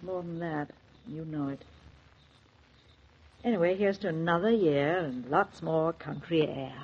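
A woman's voice: low voice, a little slow, slightly rough. An actress playing an older, fondly teasing mother in a 1940s radio drama, with dry, wry humor. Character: older mother-in-law, gently teasing, fond. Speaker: Claudia's mother. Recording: dull old radio recording with faint hiss.

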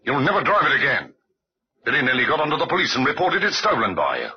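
A man's voice: mid-range voice, sing-song, quick pace, breathy edge. An actor playing an irate, scornful older father in an old-time radio drama, blustering with indignation. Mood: indignant. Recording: muffled old radio recording.

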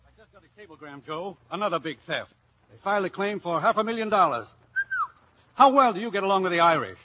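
A man playing a brisk, exasperated, bossy insurance company chief in a 1950s radio play. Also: sits high, animated, sharp tone, a little hiss or hum. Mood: brisk, pressing. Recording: dull vintage radio broadcast.

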